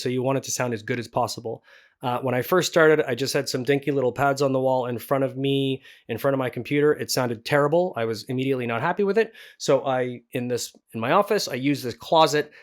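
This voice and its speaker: A man talking casually and encouragingly as a voice actor giving instruction, in a medium-pitched voice. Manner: encouraging, informal.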